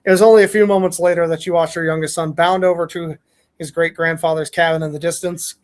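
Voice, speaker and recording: A man's voice: fairly high pitch, steady tone, fast. A man hosting a podcast, reading fan fiction aloud and chatting casually. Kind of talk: casual read-aloud and group chat.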